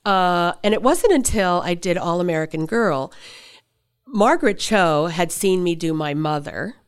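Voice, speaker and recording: An actress in an interview, telling animated anecdotes and laughing often as she talks. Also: lowish voice, a little slow, smooth. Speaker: actress guest. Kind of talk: interview storytelling.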